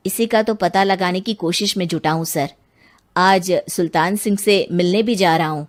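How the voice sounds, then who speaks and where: A woman's voice: lowish voice, moderate pace, natural voice. Earnest police inspector voiced by a woman. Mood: earnest, dutiful. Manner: formal, respectful questioning. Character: earnest male police inspector investigating an old murder. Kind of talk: scripted dramatised story dialogue.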